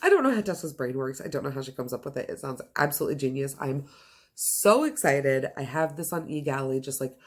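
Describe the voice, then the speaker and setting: A woman with a notably low voice talks straight to her viewers in a casual, conversational way. She is enthusiastic and emphatic, gushing as she reviews books. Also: expressive, brisk. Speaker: book reviewer and video host. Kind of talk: casual to-camera book chat.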